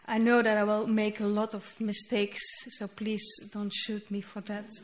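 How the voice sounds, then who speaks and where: A woman whose first language is Dutch, speaking English with a Dutch accent as she reads her poetry slowly and deliberately on a festival stage. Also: medium pitch, completely flat delivery. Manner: slow, deliberate reading with halting English asides. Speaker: poet. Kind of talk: poetry reading. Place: festival stage before an audience.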